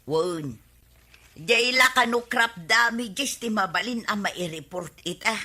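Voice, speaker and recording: A woman's voice: mid-range voice, lively intonation, slow pace, bright, forceful tone, heavily acted. A woman playing a nosy, scheming village gossip in an Ilocano radio comedy drama, with an Ilocano accent and a teasing, needling delivery. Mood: complaining.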